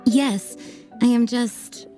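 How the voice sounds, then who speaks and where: A woman voicing a dutiful, modest young knight commander in a video game, speaking formally and sincerely in a measured way. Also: fairly high pitch, mellow. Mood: reflective, hopeful. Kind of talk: video game character acting. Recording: studio voice over game music.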